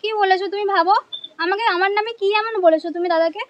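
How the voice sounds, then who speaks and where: Very high-voiced young woman, speaking fast. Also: dry recording, muffled recording. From West Bengal, India. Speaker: prank caller, vlogger. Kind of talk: casual prank phone call.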